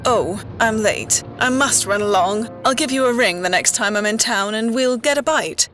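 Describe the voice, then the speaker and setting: Actress as a brisk, detached career woman. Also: fairly high pitch, fairly bright tone, soft background music, natural voice. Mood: politely dismissive. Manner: breezy, matter-of-fact, detached. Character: brisk, self-denying career woman hiding old heartbreak. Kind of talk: radio drama acting.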